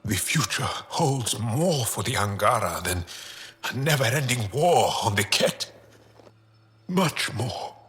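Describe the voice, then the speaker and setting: A man playing a thoughtful, hopeful alien in a video game, speaking in a measured, reflective way with deliberate pauses. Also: mid-range voice, sing-song, a bit bright. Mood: awed, hopeful. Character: calm, awestruck male alien philosopher, thoughtful and hopeful. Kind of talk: video game dialogue acting. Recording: music under the voice.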